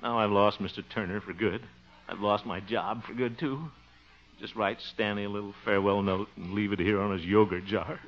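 An American man playing an excitable, hapless radio station man with theatrical, flustered exclamations in a 1950s radio comedy. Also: fairly low pitch, moderate pace, lightly acted. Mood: dejected. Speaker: star of a radio comedy show. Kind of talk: 1950s radio sitcom acting. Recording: noisy, dull old radio broadcast.

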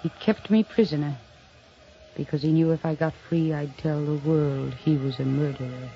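A woman's voice: low voice, steady tone, normal speed. An actress in a 1940s radio drama playing a bitter, distraught woman long held prisoner. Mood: bitter accusation. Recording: dull old radio recording with music.

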